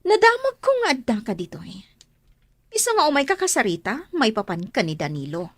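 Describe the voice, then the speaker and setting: An actress playing a pleading, jealous, sharp-tongued wife and mother in an Ilocano radio drama, with an Ilocano accent and a melodramatic delivery. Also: fairly high pitch, wildly swooping pitch, brisk, dry recording, heavily acted. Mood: scornful, jealous.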